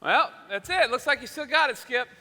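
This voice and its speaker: Man playing high-voiced, easygoing radio show boss. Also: animated, a little fast, sharp tone, exaggerated voice. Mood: upbeat, bustling. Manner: hesitant, folksy, chatty. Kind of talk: theatrical stage comedy acting. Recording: reverberant hall, live stage sound.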